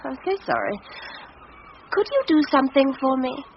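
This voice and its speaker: An actress playing a glamorous, charming stage star who coaxes sweetly, in a radio drama. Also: female voice, high voice, sing-song, normal speed. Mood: charming, confiding. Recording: muffled radio broadcast, noisy outdoor effects.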